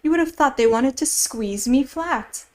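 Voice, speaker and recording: A well-mannered storybook girl speaks politely, a little hasty and slightly nervous, as a woman reader puts her on. Her voice is lightly raised and bright. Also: high voice, lively intonation, measured pace, pitched above the performer's own voice.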